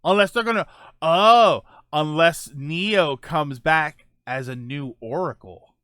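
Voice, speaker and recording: A man's voice: on the high side, takes it slowly, a bit bright, dry recording, studio quality. A man chatting casually as host of a live stream, rambling and joking as he answers viewers.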